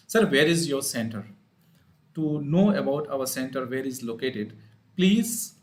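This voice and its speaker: A man with an Indian accent giving an informal live explanatory talk as a speech therapist, in a halting, repetitive, teacherly manner. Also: medium pitch. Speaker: speech-language pathologist hosting an advice livestream.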